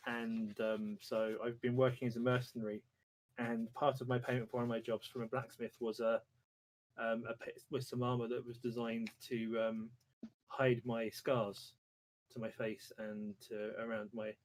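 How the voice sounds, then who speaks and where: A man playing a tabletop game, improvising casually in halting, apologetic phrases. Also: medium pitch, flat delivery, poor audio. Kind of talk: casual improvised in-character play.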